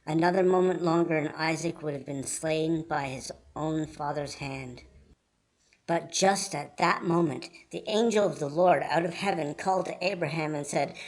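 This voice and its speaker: A man reading scripted Bible storybook narration in a measured, earnest manner. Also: a little high, poor audio.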